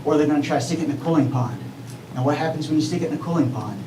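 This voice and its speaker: A man giving an urgent, earnest public comment at a city council meeting, halting with fillers as he goes. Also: fairly high pitch. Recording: echoey hall, distant mic, noisy.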